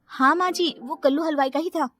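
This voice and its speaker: A woman's voice: sits high, fairly quick, fairly bright tone, faint music behind, pitched above the performer's own voice. A woman voicing an innocent, honest young daughter-in-law in a cartoon story, speaking matter-of-factly. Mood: innocent, candid. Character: guileless, honest young daughter-in-law who cannot lie.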